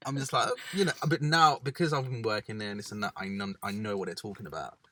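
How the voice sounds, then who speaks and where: A man from England co-hosting a casual chat in a parked car, animated and quick to imitate others. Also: on the low side. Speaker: podcast co-host.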